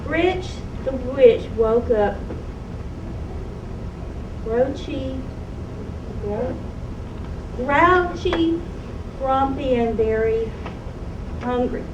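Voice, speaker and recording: A woman playing a storybook narrator, reading a children's tale aloud with deliberate emphasis on the describing words. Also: high-pitched, dull audio. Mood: dramatic storytelling. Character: storybook narrator telling of a grouchy, hungry witch. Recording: echoey, noisy room, distant microphone.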